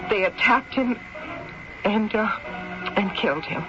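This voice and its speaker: An actress playing an earnest, mysterious widow of the old West in a radio mystery drama, with a dramatic, halting delivery. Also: female voice, mid-range voice, animated, lightly acted. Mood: sombre, guarded. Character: mysterious frontier widow, earnest, clinging to her diamonds. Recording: dull old radio recording with music.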